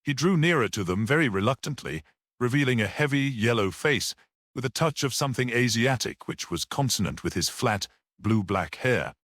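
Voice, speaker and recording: A man's voice: mid-range voice, animated, moderate pace, fairly bright tone, no echo, studio quality. A man narrating a mystery story as a scripted, dramatic audiobook reading.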